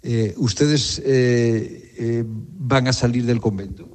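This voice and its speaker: A man's voice: medium pitch, steady tone, takes it slowly, a little mellow, in a large hall. A man interviewing nuns, asking probing questions with many hesitant fillers. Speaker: reporter.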